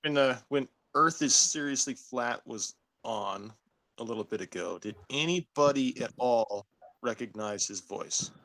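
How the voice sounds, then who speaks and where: Dude on a call, keeps restarting with the same word, dry close sound. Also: male voice, medium pitch, measured pace, fairly bright tone, dry recording. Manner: repeats words when starting sentences. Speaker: panelist. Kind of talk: casual call-in chat.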